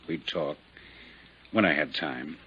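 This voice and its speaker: A man's voice: extremely low pitch, slow, sharp tone, breathy edge, exaggerated voice. An actor in a 1950s radio drama playing a proud rancher in his thirties, blunt, forthright and defensive. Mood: earnest, defiant. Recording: dull, noisy 1950s radio recording.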